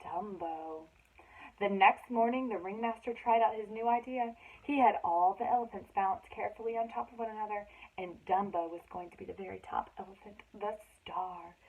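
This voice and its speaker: A woman's voice: mid-range voice, steady tone, a little fast, few pauses, breathy edge. A woman reading a children's story aloud expressively, with dramatic emphasis for young listeners. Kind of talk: expressive read-aloud narration with chatty asides. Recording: thin, phone-like band-limited sound.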